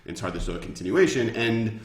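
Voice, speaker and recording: A man's voice: lowish voice, animated, quick pace, vocal fry. A man explaining lore as a podcast guest in casual, informal talk peppered with "like" and "kinda". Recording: roomy, echoey sound.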